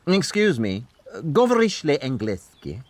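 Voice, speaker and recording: A self-assured male detective, acted by a man in an audio play, reasoning aloud with theatrical care. His middle-pitched voice moves expressively up and down, and the recording is very dry. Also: wildly swooping pitch, unhurried, dry recording. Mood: brisk, polite. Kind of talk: audio drama acting.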